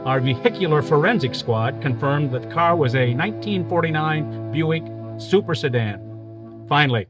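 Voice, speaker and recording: An actor playing a terse police detective who narrates the case in a clipped, matter-of-fact way. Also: male voice, a little high, lively intonation, moderate pace, dull audio, music in the background, lightly acted. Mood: narrating.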